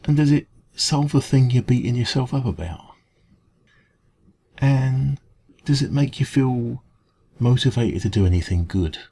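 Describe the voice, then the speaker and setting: A man with an English accent playing a kindly, gentle café dragon in an ASMR comfort roleplay, speaking in a hesitant, informal, reassuring way. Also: mid-range voice, lively intonation, measured pace, vocal fry, pauses often, slightly rough, heavily acted. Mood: earnest reassurance.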